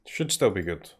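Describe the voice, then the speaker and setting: Scottish man muttering through a game. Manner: thinking aloud, drops to a mutter, clears throat often. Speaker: game streamer. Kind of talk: casual live commentary while playing.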